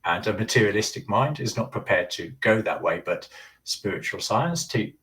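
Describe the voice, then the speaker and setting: A man's voice: lowish voice, expressive, normal speed, a bit bright, far from the mic. A man earnestly explaining ideas at length in a casual talk, often restarting his sentences. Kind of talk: casual discussion.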